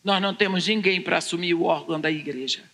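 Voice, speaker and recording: An older Brazilian woman giving a personal testimony as a preacher, telling it deliberately and dramatically in short phrases. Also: lowish voice, a bit bright. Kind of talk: personal testimony told to a congregation. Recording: echoing large hall, long reverb.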